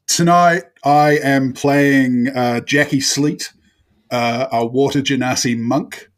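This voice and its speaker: A man introducing himself casually as a guest player, with deadpan joking. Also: mid-range voice. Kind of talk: casual chat, self-introduction.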